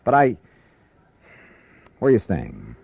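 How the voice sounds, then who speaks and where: Hard-boiled American investigator, wry, decent, lively pitch, dry close sound, classic radio detective drama. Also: male voice, slow pace, vocal fry, slightly rough, dry recording, dull audio, heavily acted. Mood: gruff, reflective. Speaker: lead and narrator. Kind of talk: 1950s radio drama acting and narration.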